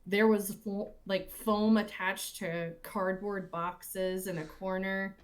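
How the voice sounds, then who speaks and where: A woman in a reflective, casual panel conversation, speaking in halting, thoughtful phrases. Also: mid-range voice, little pitch movement. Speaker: cast or crew member on a panel.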